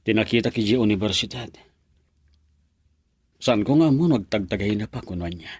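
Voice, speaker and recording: A man's voice: on the low side, animated, moderate pace, darkish tone, slightly rough, dry recording, clean studio sound. An actor playing a young university student in love, talking earnestly with his friend in a radio drama.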